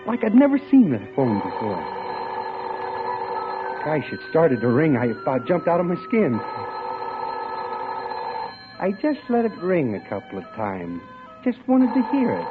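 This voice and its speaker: Animated, eager bachelor, tinny old radio. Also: male voice, a little high. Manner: folksy, colloquial, daydreaming. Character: eager, lovestruck young bachelor bank clerk narrating his story. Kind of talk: 1940s radio drama acting, first-person narration.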